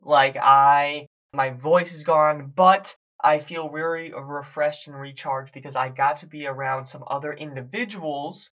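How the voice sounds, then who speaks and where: A hoarse young man, a fitness coach and podcast host, talking energetically to viewers on a live stream as he loses his voice. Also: a little high, fairly even intonation, measured pace, hardly any pauses, low-quality recording. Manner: hyped, shouting encouragement to viewers.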